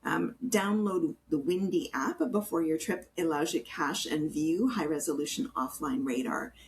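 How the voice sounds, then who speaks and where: A Canadian woman co-hosting a podcast, explaining practical tips in an informal, somewhat halting conversational way. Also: deep voice, poor audio. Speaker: podcast co-host. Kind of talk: casual explanatory chat, listing tips. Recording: faint background noise.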